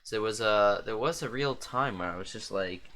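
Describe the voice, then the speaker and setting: A man's voice: on the low side, normal speed, fairly bright tone. A young man casually rambling as he rewatches and comments on an old stream of his. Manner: rambling, self-deprecating, chuckling often.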